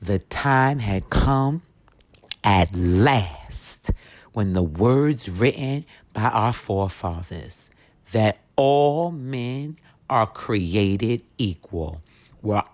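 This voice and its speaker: A woman reading a history book for children aloud as expressive storytelling, with deliberate, emphatic, dramatic phrasing. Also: a bass voice, no echo.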